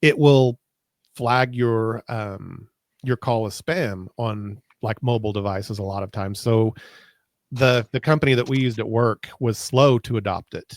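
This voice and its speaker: A man's voice: lowish voice, steady tone, a little slow, dry recording, studio quality. An American man in a casual tech chat as a co-host, informal and wryly grumbling, with folksy wording. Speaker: podcast co-host.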